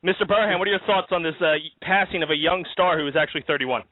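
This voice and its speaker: A man's voice: on the high side, fast, no room sound. A man hosting a live-streamed comedy talk show outdoors, joking and laughing as he rambles through the news. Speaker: host. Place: outdoors in a backyard. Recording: tinny phone-like dry sound.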